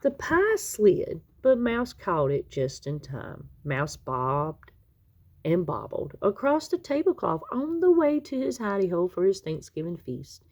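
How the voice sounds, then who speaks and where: Husky, creaky-voiced woman reading a storybook. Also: mid-range voice, very animated, a little mellow. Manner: dramatic, drawn-out emphasis on key words. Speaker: children's story reader. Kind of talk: expressive picture-book read-aloud.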